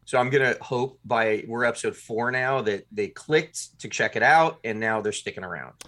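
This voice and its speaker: A man's voice: mid-range voice, normal speed, sharp tone. A man being interviewed as a podcast writer and producer, talking casually and colloquially in short bursts.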